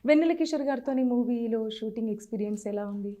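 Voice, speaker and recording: A woman interviewer asking brisk questions and prompting with short acknowledgments. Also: on the high side, mellow.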